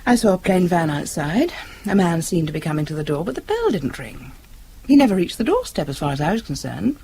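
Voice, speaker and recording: An actress playing a spirited, playful, self-assured young expectant wife in a radio drama. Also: female voice, lowish voice, very animated, fairly quick, dark tone. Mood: intrigued. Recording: noisy old radio drama recording.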